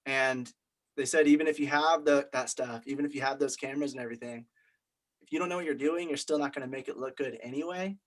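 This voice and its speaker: A man's voice: mid-range voice, fairly even intonation, fast talker, low-quality recording. A man leading a group discussion, explaining things in an informal, conversational way. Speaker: group meeting leader.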